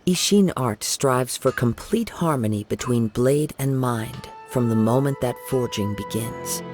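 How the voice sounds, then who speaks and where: A man voices a soft-spoken young samurai wanderer in a video game. He is measured and courteous, with a creaky edge to the voice and clear music underneath. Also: medium pitch, close to an everyday voice. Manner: measured, polite, formal. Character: gentle, modest young wandering samurai, thoughtful. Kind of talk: game voice acting.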